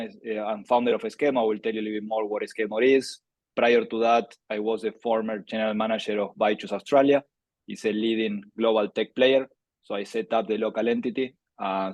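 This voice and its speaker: Argentine founder in his thirties, strong accent, low steady voice, loose chatty delivery, dry recording. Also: dry recording. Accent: Argentine Spanish. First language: Spanish. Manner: conversational, many fillers and restarts. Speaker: startup founder presenting a webinar. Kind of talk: webinar presentation.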